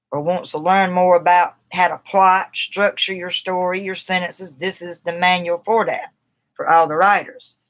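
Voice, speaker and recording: Bright-voiced Southern woman chatting casually. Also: on the low side. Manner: loose, rambling, talks to viewers by name. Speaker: writer discussing a book on a livestream. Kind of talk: casual livestream talk. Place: outdoors.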